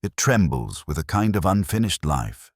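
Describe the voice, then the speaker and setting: A man playing a melancholy, reflective police inspector, narrating his case in a measured, literary way like an audio drama. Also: low voice, big pitch swings, husky, dry recording, clean studio sound, full character voice. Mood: brooding, reflective. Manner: measured, literary, brooding delivery. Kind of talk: scripted first-person detective audio drama narration.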